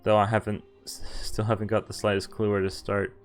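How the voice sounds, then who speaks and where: A man streaming a game, reading a visual novel aloud in expressive first person with casual, offhand asides. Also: fairly low pitch, brisk. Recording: music behind the voice. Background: game music.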